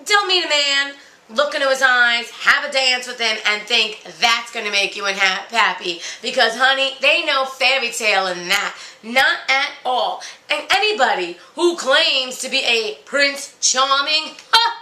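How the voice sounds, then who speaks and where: Lady doing a fed up princess for comedy, sassy n crude, sharp bright voice, echoey room. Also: sits high, expressive, a little slow, heavily acted. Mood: sassy, preachy. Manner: sassy, gossipy, crude, chatty asides to the ladies. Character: jaded, brash, vain fairy-tale Cinderella, married woman. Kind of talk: comedic mock interview character monologue. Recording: roomy, echoey sound.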